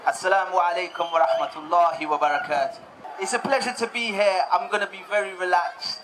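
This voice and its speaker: A young man raised in the United Kingdom, giving a relaxed personal testimony talk to an audience, often repeating words as he goes. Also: high-pitched, fast talker, background noise.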